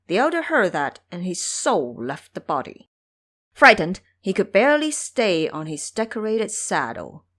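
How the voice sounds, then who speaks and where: A woman narrating a scripted audio drama in a theatrical, deliberate storytelling manner. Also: lowish voice, very animated, a bit bright.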